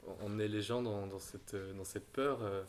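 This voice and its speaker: A man speaks about his artwork with a fairly low voice, halting and soft, repeating small words as he gathers his ideas. Faint noise sits under the recording. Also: steady tone. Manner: soft-spoken, halting, frequent fillers. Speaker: artist. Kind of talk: casual conversation.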